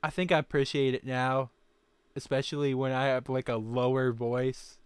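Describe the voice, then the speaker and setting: A man's voice: mid-range voice, little pitch movement, moderate pace, clear, resonant tone, dry recording. A young man co-hosting a casual podcast chat, often restarting his sentences. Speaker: podcast co-host.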